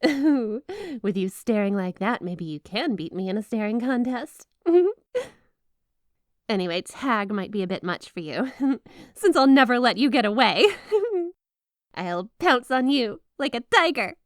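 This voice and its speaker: A woman's voice: fairly high pitch, big pitch swings, unhurried, long pauses, no room sound. Woman acting a giggly, obsessive yandere wife, close and dry. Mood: excited, giggly. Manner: gushing, giggly, coyly menacing sweetness. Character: flirty, obsessive yandere wife with snow powers. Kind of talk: ASMR roleplay addressed to the listener.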